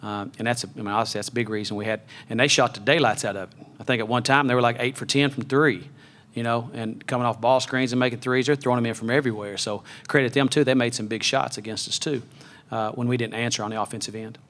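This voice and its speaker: Fast-talking middle-aged coach, flat delivery. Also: male voice, medium pitch. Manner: measured, many fillers and restarts. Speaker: head basketball coach. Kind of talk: postgame press conference. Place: press conference room. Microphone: table mic on a stand.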